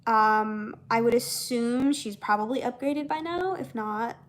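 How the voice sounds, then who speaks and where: A young woman with a fairly high voice talks very slowly in an informal, unscripted chat. She wanders through her thoughts haltingly, repeating words and letting sentences trail off. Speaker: voice actor and comic dub creator chatting with viewers. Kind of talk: casual unscripted discussion.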